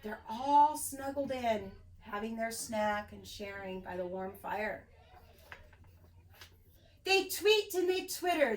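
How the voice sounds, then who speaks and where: Animated, high-pitched woman reading to children. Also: rough recording. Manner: sing-song, addressing young children. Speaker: preschool teacher reading aloud. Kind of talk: expressive children's story read-aloud.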